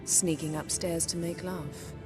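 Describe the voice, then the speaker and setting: An actress voicing a proud, sharp-tongued fantasy sorceress who speaks in an articulate, sardonic way for a video game. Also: female voice, fairly low pitch, steady tone, unhurried, over music, close to an everyday voice. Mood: coolly flirtatious. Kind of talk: cinematic game voice acting.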